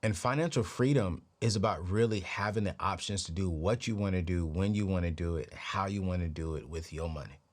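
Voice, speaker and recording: A man in his late thirties hosting a personal finance show, giving a motivational talk with a preachy, energetic delivery. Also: sits low, fairly quick, few pauses. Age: 30s. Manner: preachy, energetic, repeats phrases. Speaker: podcast host.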